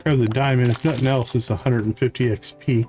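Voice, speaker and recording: A man hosting a livestream, giving casual gameplay commentary and thinking aloud in an unhurried way. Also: mid-range voice, fairly even intonation, dark tone, soft background music. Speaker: livestream host.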